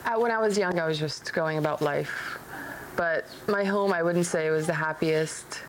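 Clear background noise surrounds a young woman from Syria with a fairly low voice. She talks openly and reflectively, as a guest in a personal chat, and is prone to giggling. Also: moderate pace, low-quality recording. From Damascus, Syria. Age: young adult. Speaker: podcast guest, medical device engineer.

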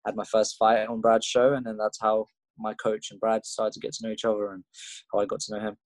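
A man's voice: fairly low pitch, completely flat delivery, brisk, no room sound, poor audio. A young man from Russia with an English accent, a fighter telling his story in a casual interview, rambling with lots of fillers and restarts. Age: young adult. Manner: rambling, laid-back, many fillers and restarts. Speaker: MMA fighter guest.

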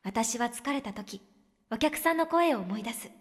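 A woman acting in a radio drama as a cheerful, expressive young woman who runs a tea shop. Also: a little high, moderate pace, lightly acted. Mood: reflective. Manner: expressive, animated. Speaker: actor playing shop manager.

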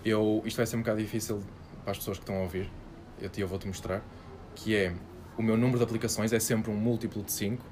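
Portuguese guy, rambling podcast chat, lowish voice, lots of filler words. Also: flat delivery, fast talker, noisy background. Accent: European Portuguese. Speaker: podcast co-host. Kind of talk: casual chat.

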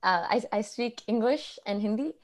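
Young woman host, relaxed and friendly, filler-heavy casual talk. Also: on the high side, normal speed. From India. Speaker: podcast host. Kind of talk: casual conversation.

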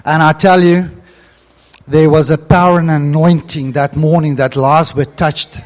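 Earnest man preaching, fairly high voice. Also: steady tone, measured pace. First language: Afrikaans. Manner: earnest storytelling, rising to emphatic preaching. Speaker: pastor. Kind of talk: sermon.

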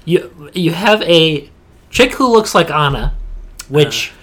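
A man's voice: fairly high pitch, sing-song, slow, a bit bright. A man co-hosting a casual gaming podcast, talking in a slangy, blunt and scornful way. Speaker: podcast co-host.

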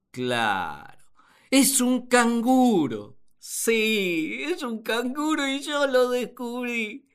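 A woman plays a naive, puzzled first man in a radio play, full of his own importance. The delivery is slow and swings very widely in pitch. He ponders like an amateur naturalist, pompous and perplexed. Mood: proud, pompous. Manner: theatrical, perplexed, pompous musing. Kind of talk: radio drama diary monologue.

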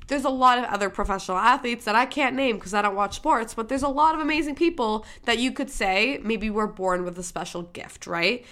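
A woman's voice: on the high side, brisk, hardly any pauses, fairly bright tone. A young woman from Michigan hosting a podcast, talking candidly and emphatically in a casual motivational monologue, swearing freely. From Michigan, USA. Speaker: podcast host.

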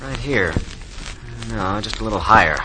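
Bright-voiced, earnest WWII soldier. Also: male voice, on the low side, expressive, moderate pace, slight background noise, low-quality recording. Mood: earnest, gentle. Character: soldiers of a WWII army, earnest, plain-spoken young men. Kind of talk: 1940s radio drama acting. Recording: old 1940s radio broadcast recording.

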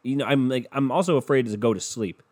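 A man's voice: mid-range voice, fast talker, darkish tone. A stuffy-nosed young man with a sore throat, hosting a podcast alone and rambling in a loose, profane, joking way. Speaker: podcast host. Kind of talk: casual solo podcast rant.

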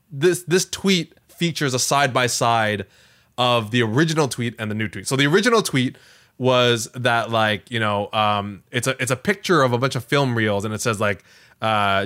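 Male podcast co-host: medium register, very expressive intonation, informal, slightly tentative delivery. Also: medium pitch. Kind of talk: casual chat.

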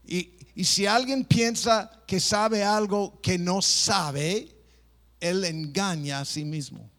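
Man, pastor preaching, high voice, slow delivery, playful mimicry. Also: fairly bright tone. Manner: playful, mimics people, stop-start phrasing. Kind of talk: sermon.

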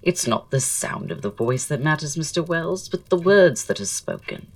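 An actor playing a witty, flirtatious poet and dandy in an audio drama, speaking in florid, arch, self-assured period style. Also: male voice, a little high, expressive, normal speed, no echo, distant mic. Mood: proud, airy. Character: languid, witty, flirtatious male poet-playwright dandy, proud.

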